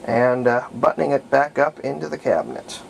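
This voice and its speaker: Male hobbyist demonstrator, average pitch, notable vocal fry, unhurried homespun delivery, thinking aloud on the job. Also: expressive, rough recording. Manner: folksy, unhurried, thinking aloud while working. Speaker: radio repair hobbyist demonstrating. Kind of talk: casual hands-on tutorial narration. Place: indoors at home, hands-on at workbench. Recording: faint background noise, home workshop.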